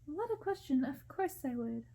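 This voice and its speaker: A woman's voice: high voice, big pitch swings, normal speed, gravelly, warm tone, pitched above the performer's own voice, exaggerated voice. A woman voicing a sweet, lovestruck young princess in a storybook reading. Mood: loving.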